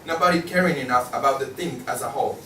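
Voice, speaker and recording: A man's voice: mid-range voice, fast, fairly bright tone, close to an everyday voice. A man reading the part of a weary, irritable magazine editor in a stage play, dry and gloomy. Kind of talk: student table read of a stage play. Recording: distant echoey room, faint noise.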